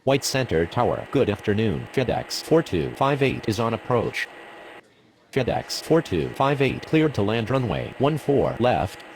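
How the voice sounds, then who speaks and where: A creaky-voiced man runs through air traffic radio calls with very varied pitch. The phrasing is procedural and scripted, with clear mechanical noise behind it. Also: big pitch swings, measured pace, darkish tone.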